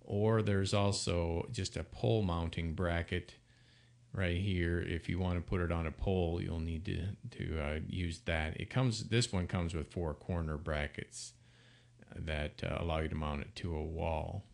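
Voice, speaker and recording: A man giving an unscripted product demonstration in a plain-spoken, informal way, halting now and then as he explains features. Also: deep voice, steady tone, creaky. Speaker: product presenter for an intercom seller.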